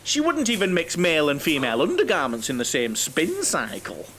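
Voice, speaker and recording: An actor playing a naive, lonely bachelor who rambles and overshares in an audio comedy. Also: male voice, fairly high pitch, huge pitch swings, brisk, a bit bright. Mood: reminiscing, rambling. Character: chatty, naive, lonely middle-aged bachelor, mother-obsessed coach passenger. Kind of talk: audio drama comedy acting.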